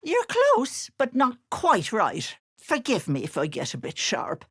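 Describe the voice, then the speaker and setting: Game role: older potion-selling witch auntie, syrupy and scheming, slow delivery with wildly animated pitch. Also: husky, no room sound, clean studio sound, heavily acted. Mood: wheedling, sly. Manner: cooing endearments laced with menace. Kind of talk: video game character voice lines.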